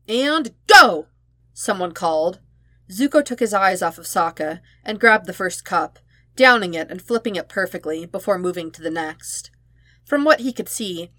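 Low-voiced woman narrating fiction, dry sound. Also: dry recording. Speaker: podfic narrator. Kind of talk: expressive scripted fiction narration.

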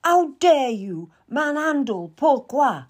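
A woman voicing an imperious, volatile young noblewoman who scolds in slow, drawn-out accusations. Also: high-pitched, big pitch swings, voice pushed up, exaggerated voice. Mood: screaming.

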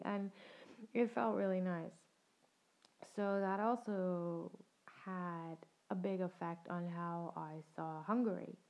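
A woman's voice: fairly low pitch, warm tone. A young adult woman hosting a podcast, telling her own story in a reflective, conversational way and laughing now and then. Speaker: podcast host. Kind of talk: personal storytelling monologue. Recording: dull lo-fi sound.

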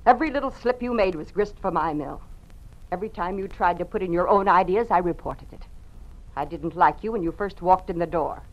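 A woman's voice: lowish voice, fairly quick, natural voice. An actress playing a spiteful, gloating party informer in an old-time radio drama, taunting and sneering as she talks. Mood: vindictive, scornful. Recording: dull, band-limited old radio recording.

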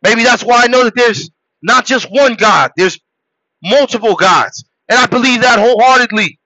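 Man, born in Haiti, podcast host, preachy motivational talk, high voice, dry dull recording. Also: moderate pace, no room sound. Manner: preachy, exhorting, swings from loud shouts to low murmurs. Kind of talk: motivational monologue.

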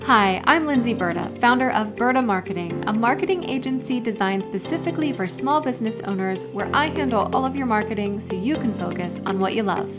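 A woman's voice: a little high, lively intonation, a little fast, hardly any pauses, loud music behind the voice. A woman hosting a marketing podcast, introducing her guest and chatting in an upbeat, friendly way. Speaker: podcast host. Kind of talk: scripted intro then casual interview chat.

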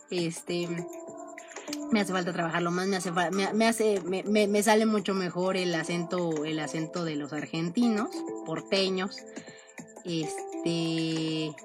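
A woman hosting a podcast, talking casually and tagging most sentences with a questioning "no?". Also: fairly low pitch. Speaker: podcast host. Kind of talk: casual monologue. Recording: music playing under the voice.

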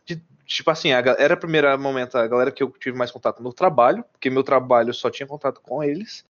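A man's voice: medium pitch, quick pace. Brazilian man, casual storyteller, rambling and slangy, lo-fi dull recording. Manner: rambling, many restarts and slang. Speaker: guest telling about living abroad. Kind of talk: casual chat.

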